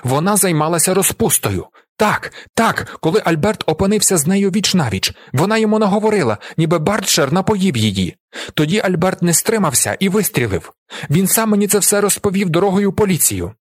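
A man's voice: on the low side, expressive, moderate pace, hardly any pauses, slightly rough, no room sound, studio quality. A man voicing an enraged, defiant young war veteran in a dramatized audiobook, speaking in vehement, accusing exclamations. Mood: furious, insistent.

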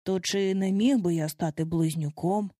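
A woman voicing a downhearted, hesitant little boy in a slow, plaintive, sighing manner for a storybook. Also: medium pitch, steady tone, warm tone, no room sound, studio quality, close to an everyday voice. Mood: mournful, pleading.

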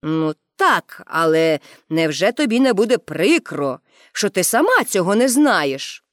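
A woman's voice: on the low side, big pitch swings, measured pace, dry recording, clean studio sound, pitched below the performer's own voice. A woman voicing a patient, insistent policeman in a storybook, reasoning with mild condescension. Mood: patiently insistent.

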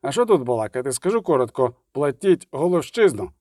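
A man's voice: mid-range voice, big pitch swings, a little fast, mellow, dry recording, studio quality. A man voicing a stubborn, aggrieved villager in a fantasy audiobook, rustic, haggling and insistent.

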